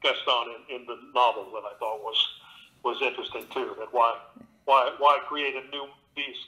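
A man, an actor and audiobook narrator, being interviewed and telling stories in a relaxed way with plenty of fillers and restarts. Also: medium pitch, moderate pace, rough recording. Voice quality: big voice. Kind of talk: conversational interview.

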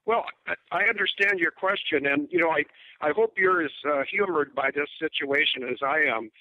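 An older man with an Upper Midwest American accent, a guest calling in on a cell phone, speaking emphatically and deliberately with mocking asides. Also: on the high side, no room sound. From United States. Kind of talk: phone-in interview. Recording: tinny cell phone line.

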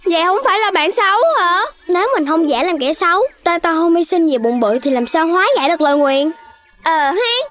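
An excitable little cartoon animal kid. The voice is extremely high and bright, with childlike, exclamatory delivery. It sounds playful and boastful, made for a children's animation. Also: fairly quick. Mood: animated.

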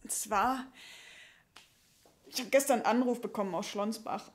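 A woman playing a casual female lumberjack in an improvised audio play, talking in halting, colloquial fragments. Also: a little high, natural voice. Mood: hesitant, casual. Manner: halting colloquial chat, trailing off. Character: female lumberjack, casual, hesitant about a job offer. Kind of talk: improvised audio drama dialogue. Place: small dark curtained stage. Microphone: stand microphone.

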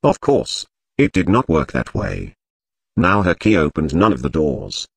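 Game role: deep, emotionless robot, recites grim bedtime tales evenly, no room sound. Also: male voice, gravelly, husky, no echo, full character voice. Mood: deadpan. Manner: deadpan, measured storytelling. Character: monotone candy-dispensing robot telling grim bedtime stories. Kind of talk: scripted video game character narration.

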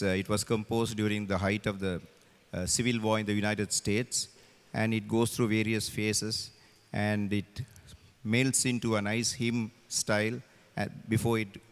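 In a big church with long reverb, a man in his sixties speaks into a handheld mic. His voice is fairly low, with a Sri Lankan accent. He explains a concert programme as the choral director. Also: flat delivery, moderate pace. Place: large cathedral, on stage. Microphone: handheld microphone.

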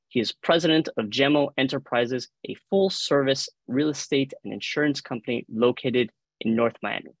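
Male panel moderator, Cuban accent, mid-pitched, formal scripted delivery, dry close video-call sound. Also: fairly bright tone, no echo, poor audio. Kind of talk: formal scripted introductions, reading biographies. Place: indoor room on a video call. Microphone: video call microphone.

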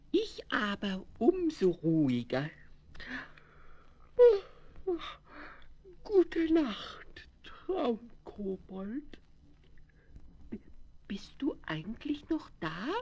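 Bratty little dinosaur king, childlike, boastful, very high-pitched, slow drawl, 1970s children's radio play. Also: huge pitch swings, gravelly, heavily acted. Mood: sleepy, content. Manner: gleeful, boastful, giggly, stretching his words.

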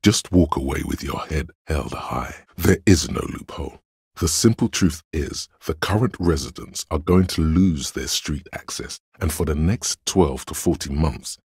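An actor voicing a cool, analytical inner voice of logic in a detective game, narrating in a measured, dryly wry way. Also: male voice, extremely low pitch, darkish tone, slightly rough, dry recording, clean studio sound, exaggerated voice. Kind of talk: scripted second-person game narration.

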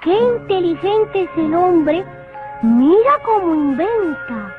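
An actor voicing a curious, excitable small child in an educational cartoon, with childlike, exclamatory questioning. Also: extremely high pitch, slow, heavily acted. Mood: admiring. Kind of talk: animated educational cartoon dialogue. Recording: dull lo-fi old cartoon soundtrack, faint music.

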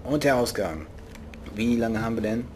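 A man's voice: medium pitch, lively intonation, normal speed, a little mellow, slight background noise. A young man with a regional German dialect, commenting casually and jokingly while he plays a game. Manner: joking, playful, talks to himself. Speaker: let's play host.

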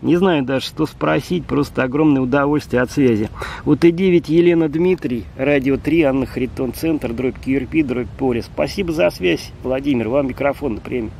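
A man's voice: normal speed, gravelly, mellow. A man in his fifties making a friendly, casual amateur radio contact, speaking outdoors. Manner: friendly, spells call signs with phonetic words. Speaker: amateur radio operator and vlogger. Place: outdoors in a city park. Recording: outdoor park with faint rumble.

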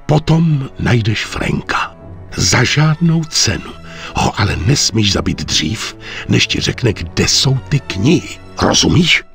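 A man playing a stern aging mafia boss in a video game, deliberate and commanding. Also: mid-range voice, very animated, vocal fry, a bit bright, soft background music. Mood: grave, commanding. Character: stern aging male mafia boss giving grave orders. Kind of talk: dubbed video game cutscene acting.

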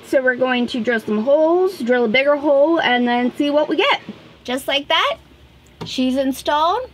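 A woman's voice: fairly high pitch, a bit bright, slight background noise, low-quality recording. A woman in her thirties casually explaining a DIY project as a vlog host. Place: small wood-panelled boat cabin indoors.

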